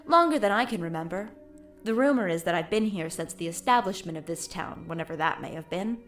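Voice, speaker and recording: A woman playing a mysterious, ancient female ghost in an audio drama, speaking in a formal, cryptic, measured way. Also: lowish voice, lively intonation, a bit bright, soft background music. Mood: cryptic, aloof.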